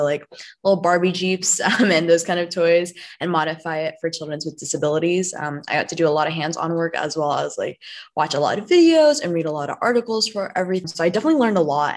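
Young woman student from Oregon, slightly low voice, umms a lot. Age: young adult. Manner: friendly, frequent ums. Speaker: student tour guide. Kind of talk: casual interview answers.

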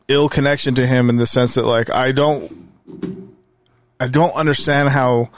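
A man's voice: medium pitch, no room sound. A man hosting a podcast in a casual chat, swearing often and rambling with fillers and restarts. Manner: loose and profane, rambling with fillers. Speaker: podcast host; musician.

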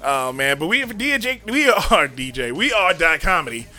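A young man hosting a casual comedy podcast, joking loudly and laughing often. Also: on the high side, big pitch swings. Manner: loud, laughing, fast joking delivery. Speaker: podcast host.

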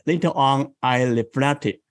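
A man with a Chinese accent speaks at an unhurried, deliberate pace. He is a guest in an interview, thinking aloud as he tells his memories. The sound is close and dry, with little room around it. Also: medium pitch, no echo. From South China. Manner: slow, thoughtful, reflective storytelling. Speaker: guest, improv coach. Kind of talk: interview conversation.

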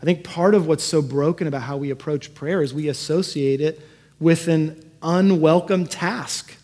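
A man's voice: a little high, normal speed, darkish tone. A man preaching as a pastor in a conversational sermon, earnest and given to emphatic repetition. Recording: echoey hall with long reverb.

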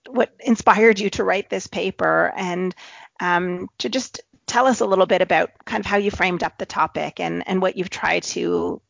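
Gravelly-voiced woman podcast co-host. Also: medium pitch, dry recording, muffled recording. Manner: halting, many fillers. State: froggy voice. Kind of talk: casual podcast chat.

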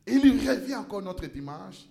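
High-pitched guy preaching in church, gets shouty, stumbles on words, lots of room echo. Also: sing-song, moderate pace, vocal fry. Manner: impassioned preaching, rises to shouts and repeats words. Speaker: pastor. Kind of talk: sermon.

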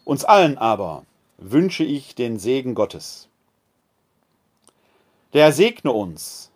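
Priest guy preaching real slow and thoughtful, podcast sermon. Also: fairly low pitch.

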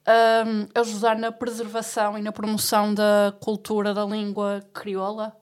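A woman who interviews a guest, asking measured questions and giving brief encouraging replies. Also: mid-range voice, completely flat delivery, smooth. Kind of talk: interview conversation.

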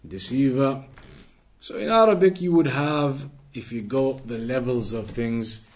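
A man's voice: medium pitch, a little slow, a little mellow. A man teaching a religious lesson to a live class, earnest and repeating key phrases, reciting Arabic fluently and quizzing his students. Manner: quizzes the class and waits for answers. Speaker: Islamic teacher.

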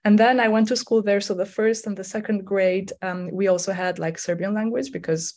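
A woman in her forties whose first language is Albanian, speaking English as a playwright guest in an unhurried, hesitant way, with many fillers and restarts. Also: mid-range voice, fairly even intonation, darkish tone. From Kosovo. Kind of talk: conversational interview.

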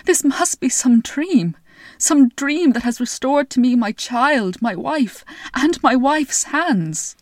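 Woman voicing a tired grown king, pleading, emotional, slow, dry close sound. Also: sits high, animated, no echo, voice pushed up. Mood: overjoyed, tearful. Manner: pleading and emotional, raised and drawn out. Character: weary, tearful grown king searching for his family.

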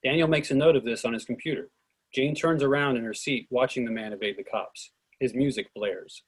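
A man's voice: mid-range voice, brisk, slightly rough, low-quality recording. A man reading stage directions aloud as scripted narration for a screenplay reading.

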